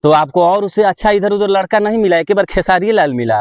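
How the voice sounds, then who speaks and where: A man's voice: high voice, fairly quick, a little mellow, dry recording, studio quality. A man with a Bihari accent working as a reporter, questioning someone over the phone in a pushy, goading way. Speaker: local reporter interviewing by phone. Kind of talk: phone interview, casual confrontational questioning.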